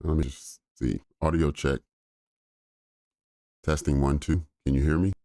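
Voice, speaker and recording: A man with a very deep voice streaming live, talking through trades in a relaxed way with fillers. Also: unhurried, dry recording, studio quality. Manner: relaxed, thinking aloud with fillers. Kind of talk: live trading commentary.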